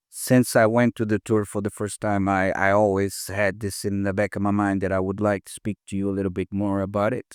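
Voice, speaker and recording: Low, mellow-voiced man hosting. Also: clean tone, dry recording, clean studio sound. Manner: hesitant, many fillers and restarts. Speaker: podcast host. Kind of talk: casual interview.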